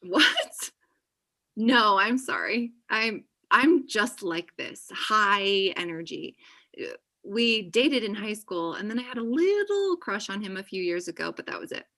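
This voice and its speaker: A woman playing a chatty, high-energy friend in a comedy table read on a video call, with an animated, gushing manner. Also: unhurried, full character voice. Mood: apologetic. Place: small indoor room on a video call. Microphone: computer mic on video call.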